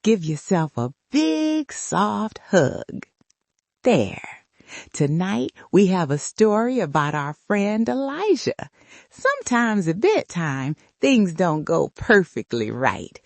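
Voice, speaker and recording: An older woman speaks slowly to small children at bedtime, with a creaky edge to her voice. She is soothing and addresses the little listeners directly, with lively rises and falls in a dry, close-sounding recording. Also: medium pitch, dry recording. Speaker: host and storyteller. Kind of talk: bedtime story introduction for young children.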